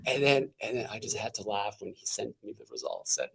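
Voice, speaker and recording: Energetic high-voiced man, halting restarts. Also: vocal fry. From Canada. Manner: animated, halting with many restarts and fillers. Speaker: guest speaker. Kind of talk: casual interview talk.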